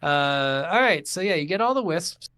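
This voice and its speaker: A man with a fairly high voice co-hosts a gaming podcast in a casual, foul-mouthed way. He rants in disbelief and acts out the lines he quotes. The sound is close and dry. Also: lively intonation, normal speed, bright, forceful tone, clear, resonant tone, no room sound. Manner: incredulous ranting, heavy swearing, acts out quoted lines. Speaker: video game podcast co-host. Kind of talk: casual, profane game review chat.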